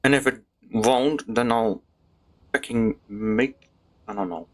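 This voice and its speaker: A woman's voice: extremely low pitch, takes it slowly. Rambling woman streamer, casual. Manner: rambling, hesitant, swears casually. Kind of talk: casual gameplay commentary.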